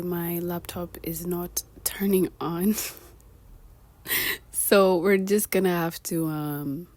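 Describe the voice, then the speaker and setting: A woman's voice: fairly low pitch, no room sound. A young woman with a Kenyan-tinged American accent, chatting casually to camera and acting out the voices of people she quotes. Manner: animated, acts out quoted voices and laughs often. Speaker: vlogger.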